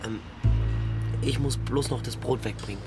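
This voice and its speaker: An actor playing a defiant but insecure young petty thief, sullen and slangy, in a German crime radio play. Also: male voice, medium pitch, normal speed, loud music behind the voice, close to an everyday voice. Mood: hesitant.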